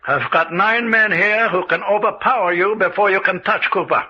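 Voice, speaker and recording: An actor playing a calm, stern, principled doctor who commands his men formally and precisely in an old radio mystery. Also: high-pitched, moderate pace, lightly acted. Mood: firm, urgent. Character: calm, stern, principled male doctor leading scientist captors. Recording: muffled old radio recording.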